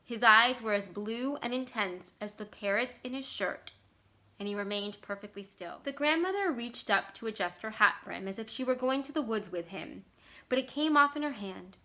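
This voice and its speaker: A woman's voice: fairly high pitch, lively intonation, moderate pace, bright, forceful tone. A woman reading a short story aloud as scripted literary narration. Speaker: library staff member reading a story aloud.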